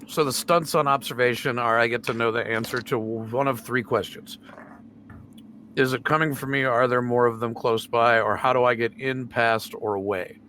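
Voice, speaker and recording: A man with a mid-pitched, bright voice talks casually as a tabletop game player. Some faint noise sits behind him. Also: fairly even intonation, normal speed. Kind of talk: casual in-character game chat.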